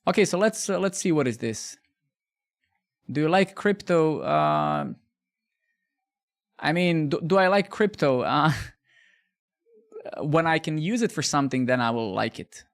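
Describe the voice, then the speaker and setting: Gravelly man. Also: on the high side, lively intonation, unhurried, pauses often. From Bosnia. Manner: chatty, restarts phrases, answers viewers' questions. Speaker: live coding streamer. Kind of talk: casual live-stream chat while coding.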